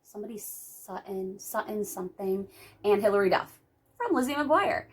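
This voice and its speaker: A woman in her thirties, hoarse from a cold, reading out writing questions and answering them in casual chat. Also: on the low side. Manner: chatty, reads out questions then answers them. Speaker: writing vlogger. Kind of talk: casual talk-through of a tag video.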